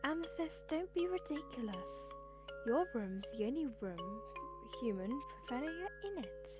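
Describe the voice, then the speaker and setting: Woman voicing motherly gem mentor, prim, patient, fairly high voice, cartoon fan dub, music. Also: lively intonation, unhurried. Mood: dismissive, reasoning. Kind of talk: amateur comic dub acting.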